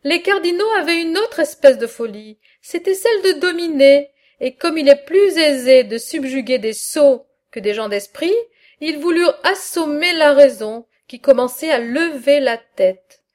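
A woman reading aloud the part of a sober, reserved male scholar in a philosophical dialogue, in a measured, earnest way. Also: high voice, lively intonation, darkish tone.

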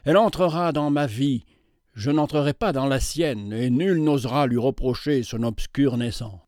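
A man's voice: mid-range voice, normal speed, a little mellow, no room sound, clean studio sound, lightly acted. A man voicing an aging, gallant bachelor collector who pleads in an earnest, courtly, emphatic way.

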